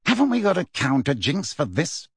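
A bluff, pompous politician, hearty on the surface and impatient underneath, as a man plays him in a raised storytelling voice. He sounds lively and a little husky, recorded close and dry. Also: high-pitched, normal speed, no echo, voice pushed up, full character voice. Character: blustering, pompous middle-aged male politician.

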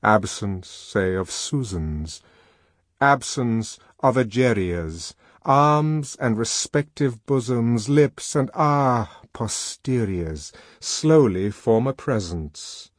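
A man playing a solemn male poet who recites his own verse with deliberate, incantatory pauses in an audiobook. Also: mid-range voice, animated, no echo, studio quality. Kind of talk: poetry recitation within an audiobook.

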